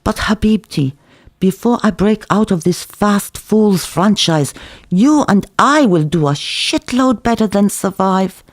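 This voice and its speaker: A man's voice: high-pitched, expressive, unhurried, no echo, voice pushed up. A man voicing a world-weary, hectoring Israeli kebab-shop worker who lectures and cajoles emphatically. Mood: boastful, cajoling.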